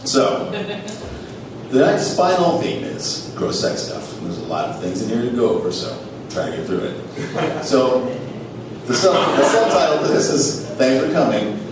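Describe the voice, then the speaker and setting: Animated man hosting in an echoey theater. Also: mid-range voice, a little slow. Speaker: comedy show host. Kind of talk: live comedy reading and storytelling. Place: live on stage in a small theater. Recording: echoey theater, distant mic, noisy.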